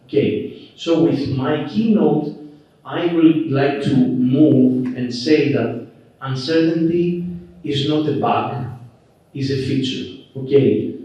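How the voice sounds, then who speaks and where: A man with a Greek accent giving a relaxed, conversational conference keynote, dotted with "okay" and "uh". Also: mid-range voice. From Greece. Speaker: keynote speaker. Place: conference hall. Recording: roomy, distant, dull hall recording.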